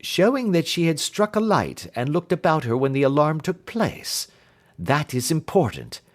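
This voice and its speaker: A probing gentleman detective from a classic mystery storybook , voiced by a man reading aloud. He is brisk and inquisitive, questioning with clear authority. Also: a little high, very animated.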